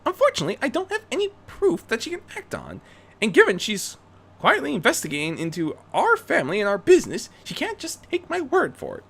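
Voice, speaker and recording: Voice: medium pitch, huge pitch swings, brisk, creaky, fairly bright tone, breathy edge, pitched above the performer's own voice, exaggerated voice. A performer voicing a young female unicorn vigilante, refined and determined, speaking in an earnest, theatrical, articulate way for a fan fiction reading.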